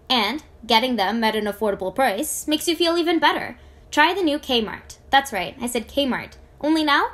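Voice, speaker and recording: A woman with a high-pitched voice performs scripted commercial copy. Her delivery is that of a voice-over artist, polished and conversational, pitched to sell to the listener. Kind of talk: scripted advertising read.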